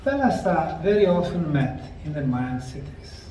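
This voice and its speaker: A middle-aged man slowly narrating a documentary on location at ancient ruins, explaining the sites like a tour guide. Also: on the high side, sing-song, warm tone, a little hiss or hum. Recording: roomy, distant mic with faint outdoor ambience.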